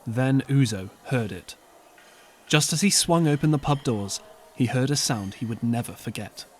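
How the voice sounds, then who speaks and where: A man narrating an audiobook as scripted storytelling, measured and earnest, with dramatic pauses. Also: mid-range voice, animated, slight background noise. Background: clear background music.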